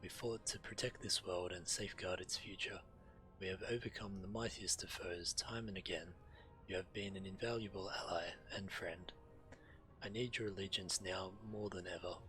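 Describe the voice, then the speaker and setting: A deep, bright-edged male dragon voice, proud and formal. He pleads his claim with self-important conviction, in video game dialogue with music underneath. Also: fairly even intonation, normal speed, low-quality recording. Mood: earnest. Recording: music behind the voice.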